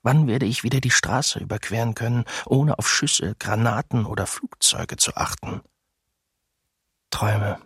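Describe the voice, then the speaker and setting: An actor playing a homesick German soldier in his early thirties, reading his diary in a radio docudrama with husky, measured delivery. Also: mid-range voice, fairly bright tone, dry recording, studio quality, full character voice. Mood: wistful, weary. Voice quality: husky, gravelly.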